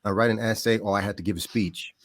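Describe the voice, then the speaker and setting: A middle-aged man from the Bahamas, a podcast guest telling stories about growing up in a lively, casual way. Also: fairly low pitch, quick pace, dry recording, studio quality. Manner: animated, laughs often, trails off into quieter asides. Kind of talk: casual storytelling.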